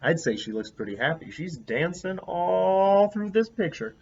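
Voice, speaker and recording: A man reading a picture book aloud as a teacher, a lively storyteller who stops to comment on the pictures. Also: high-pitched, measured pace, vocal fry, husky, lo-fi sound.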